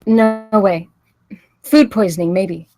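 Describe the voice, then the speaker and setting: A woman's voice: mid-range voice, steady tone, slow, close to an everyday voice. A woman at a table read playing a shaken, doubting young woman in a film drama. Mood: grieving, bewildered. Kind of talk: screenplay table read acting.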